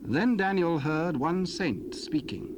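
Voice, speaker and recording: A man narrating scripture in a steady, solemn manner on a 1970s dramatized recording. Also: on the high side, takes it slowly. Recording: hissy cassette tape.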